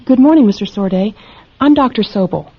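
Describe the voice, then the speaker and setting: Anxious, dutiful doctor, muffled recording. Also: female voice, fairly high pitch, expressive, normal speed, husky. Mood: calm, professional. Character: anxious, dutiful woman doctor keeping an audio diary. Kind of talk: radio drama acting. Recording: muffled lo-fi audio with hiss.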